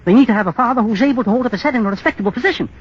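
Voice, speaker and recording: An actor playing a desperate, menacing moneylender in a 1930s radio drama, insistent and coldly insinuating. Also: male voice, very high-pitched, very fast, husky. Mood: pleading, pressing. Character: desperate, menacing bank clerk and blackmailing moneylender. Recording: muffled, scratchy 1930s radio broadcast.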